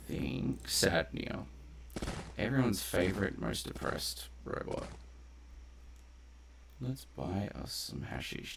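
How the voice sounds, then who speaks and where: Very deep-voiced, gravelly man commentating. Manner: rambling, conversational, swears casually. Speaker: game streamer. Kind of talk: casual live gameplay commentary. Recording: faint background noise.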